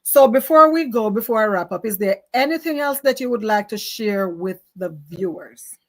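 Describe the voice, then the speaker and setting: A woman's voice: mid-range voice, animated, moderate pace. Chatty upbeat woman talk show host. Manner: upbeat, chatty, laughs often. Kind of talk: casual chat show talk.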